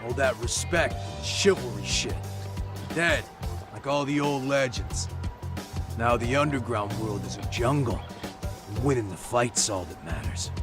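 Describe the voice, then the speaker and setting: An actor playing a brash, boastful young street thug with a gravelly, slangy delivery in an English video game dub. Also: male voice, mid-range voice, animated, measured pace, music in the background, natural voice. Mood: boastful, offhand.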